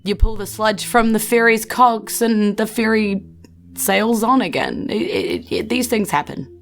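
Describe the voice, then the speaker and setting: A woman playing a flustered male town leader in a tabletop game, giving halting, blandly reassuring patter. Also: medium pitch, lively intonation, pitched above the performer's own voice. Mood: nervously upbeat.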